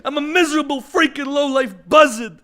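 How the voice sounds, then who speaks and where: A man's voice: very high voice, fairly quick, a bit bright. A man playing a bitter, sarcastic vulture with thwarted ambitions, ranting theatrically in a comic audio play. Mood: bitter, contemptuous.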